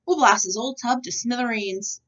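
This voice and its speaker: Storybook war secretary, male a woman performs it: gung-ho, confident, high and animated, slightly muffled recording. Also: moderate pace, far from the mic, lightly acted. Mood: eager. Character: eager male secretary of war, keen on explosives.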